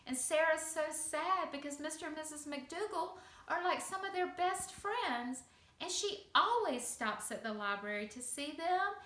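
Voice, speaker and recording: Echoey, high-voiced woman telling children a story. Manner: simple, expressive phrasing for young children. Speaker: preschool teacher telling a bedtime story. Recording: echoey room.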